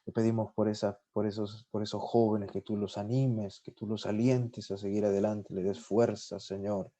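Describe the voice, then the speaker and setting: A man's voice: fairly low pitch, little pitch movement, normal speed, dark tone. A man whose first language is Spanish, a podcast guest leading a spontaneous spoken prayer, fervent and filled with repeated "Lord" and "amen". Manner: fervent, repeats "Señor" and "amén" often. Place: lives in Sweden.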